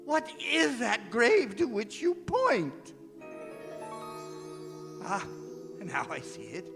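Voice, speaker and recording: An older man playing an anguished, repentant old miser in a stage radio drama, with a halting, theatrical delivery. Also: extremely high pitch, wildly swooping pitch, vocal fry, full character voice. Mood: fearful, dreading. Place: performing arts center stage. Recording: reverberant theatre hall, music underneath.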